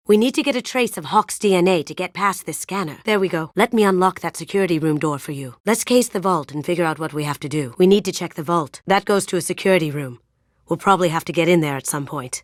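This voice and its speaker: Sly young lady thief from a game woman acting, lowish voice, cool n playful, super dry close sound. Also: fast, no echo, close to an everyday voice. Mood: assured, playful. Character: sly, confident young female master thief. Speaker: video game voice actress. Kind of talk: game character voice lines.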